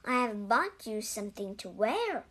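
A woman's voice: medium pitch, sing-song, measured pace, a bit bright. A woman voicing a cheerful, reassuring and slightly bossy male frog in a children's storybook. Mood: cheerful, coaxing.

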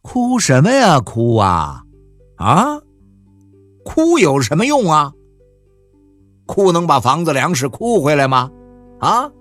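A man's voice: mid-range voice, sing-song, measured pace, long pauses, fairly bright tone, dry recording. A bossy middle-aged husband, as a male storyteller voices him, brusque and scolding in a radio novel. His tone rises and falls sharply. The sound is dry, with faint music underneath.

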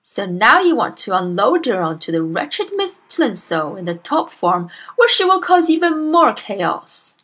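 A woman voicing a huge, bullying female headmistress who barks and shouts with scorn. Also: medium pitch, big pitch swings, unhurried, few pauses. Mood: bellowing scorn.

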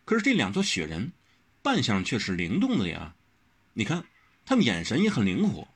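A man voicing a patient young swordsman in an audiobook, gently placating. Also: medium pitch, huge pitch swings, normal speed, breathy edge, exaggerated voice.

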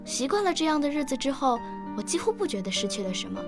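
A woman's voice: high voice, very animated, quick pace, over music. A woman playing an earnest, emotional nine-year-old girl in a radio drama, with a childlike, eager manner. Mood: reflective. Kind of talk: radio drama acting with first-person narration.

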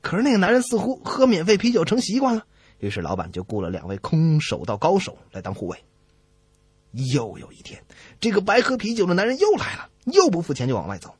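A man with a very husky, fairly high voice hosts a radio comedy show. He tells jokes in an animated, exclamatory way, in a dry studio with no room sound. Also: quick pace, no room sound. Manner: exclamatory, reactive banter. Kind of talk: animated joke telling with character voices.

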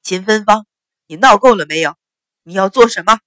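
A woman's voice: low-pitched, normal speed, fairly bright tone, husky, dry recording, voice pushed down. A woman voicing a stern, enraged male chief minister who bellows commands, in a dry recording.

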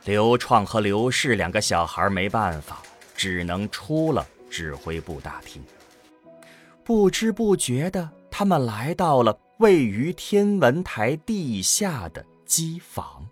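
A man's voice: medium pitch, very animated, slow pace, no room sound, soft background music. A man narrating a scripted science fiction audio drama in a dramatic storytelling style.

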